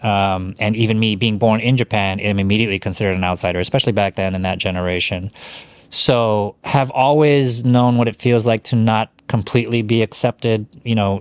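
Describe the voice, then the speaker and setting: Middle-aged male podcast host from Hawaii, lowish voice, dry close sound, rambling storyteller. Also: smooth, no echo. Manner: rambling, frequent fillers and self-corrections. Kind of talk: casual conversational storytelling.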